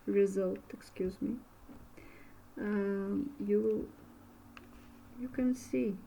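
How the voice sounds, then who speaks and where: A woman with a Bulgarian accent speaks slowly and softly in a mellow, dark-toned voice, pausing often as she searches for English words. She explains an art method in a relaxed tutorial, with a faint hiss of room noise behind her. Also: mid-range voice, vocal fry, poor audio. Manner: slow, halting, searching for words. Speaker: art instructor. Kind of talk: casual art demo tutorial.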